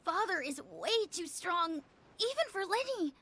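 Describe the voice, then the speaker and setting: A woman's voice: very high voice, big pitch swings, bright, forceful tone, full character voice. A tiny, fretful game companion girl. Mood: worried. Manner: anxious, rushed questions. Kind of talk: video game voice acting.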